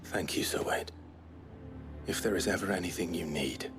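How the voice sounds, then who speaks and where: An actor playing a brooding, resolute young nobleman in exile in a fantasy game, with a measured, formal, understated delivery. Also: sits low, lightly acted. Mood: sincere, subdued. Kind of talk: dramatic fantasy game acting. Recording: game music behind the dialogue.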